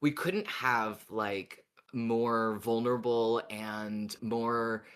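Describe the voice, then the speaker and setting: A podcast co-host discussing casually in a thoughtful, measured way, often pausing mid-sentence. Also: medium pitch, fairly even intonation, a bit bright, clean tone.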